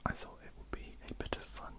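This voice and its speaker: A woman with a croaky voice, whispering ear to ear for an ASMR question-and-answer video. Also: fast. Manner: soft unvoiced whisper with frequent ums. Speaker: ASMR artist. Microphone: ear-to-ear stereo mic.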